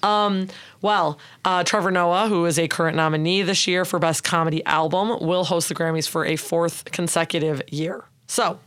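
Raspy-voiced woman co-hosting a podcast. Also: fairly low pitch, fairly even intonation, moderate pace, a bit bright. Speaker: podcast co-host. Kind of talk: casual podcast chat.

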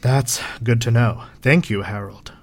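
A man's voice: medium pitch, measured pace, close to an everyday voice. A man playing a resolute moonbase resistance leader, speaking in a formal, grave and eloquent manner. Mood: measured. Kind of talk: audio drama acting.

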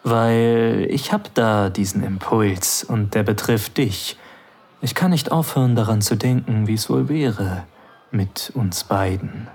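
A man acting in an intimate audio drama as a cheeky best man, soft and halting as he courts a woman. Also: lowish voice, breathy edge, heavily acted. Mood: hesitant, confessing. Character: cheeky best man, daring but suddenly hesitant suitor.

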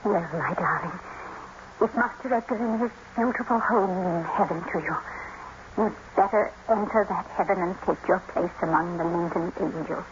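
An actress playing a concerned, motherly house servant, plain-spoken and gently probing, in a 1950s radio drama style. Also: fairly low pitch, moderate pace, natural voice. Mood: quiet, probing. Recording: muffled, hissy vintage radio broadcast.

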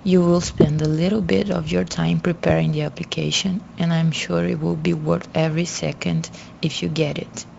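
Young woman reading her interview answers, low-ish voice, pretty monotone, muffled recording. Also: moderate pace, gravelly. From Brazil. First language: Portuguese. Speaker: guest student. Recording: dull lo-fi audio, faint noise.

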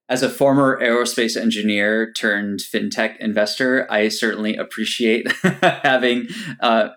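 A man hosting a podcast, reading a measured introduction and then asking friendly questions that stumble a little when he improvises. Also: on the low side, fairly bright tone. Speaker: podcast host. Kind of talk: scripted intro and interview questions.

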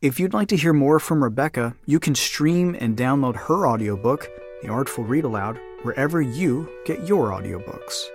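A man's voice: medium pitch, expressive, normal speed, slightly rough. Male podcast host over music. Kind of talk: scripted podcast sign-off narration.

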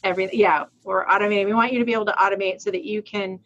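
A woman's voice: medium pitch, steady tone, racing, a bit bright, dry recording, muffled recording. A woman in her forties from Rhode Island, co-hosting a casual accounting podcast, chatty, laughing often and restarting her sentences. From Rhode Island, USA. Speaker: podcast co-host.

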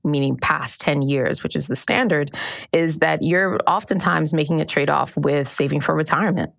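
A woman reporter with a low voice explains her findings in a clear, measured way, the sound dry and close. Her delivery is steady and informative. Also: no room sound. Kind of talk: explaining in a news podcast interview.

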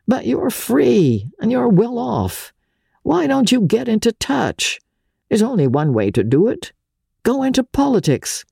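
A woman's voice: lowish voice, gravelly, mellow, dry recording, studio quality, pitched above the performer's own voice, full character voice. A woman voicing a weary, cynical young man of letters in a novel, blunt and sardonic. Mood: urging.

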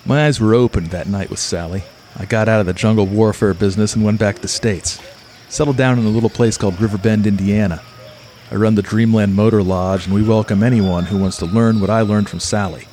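A man's voice: lowish voice, fairly even intonation, a little fast, dark tone, a little hiss or hum, lightly acted. A man playing a hard-boiled, macho mercenary who narrates in tough-guy slang like a pulp radio play. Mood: earnest. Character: hard-boiled, swaggering male mercenary turned cosmic-love believer.

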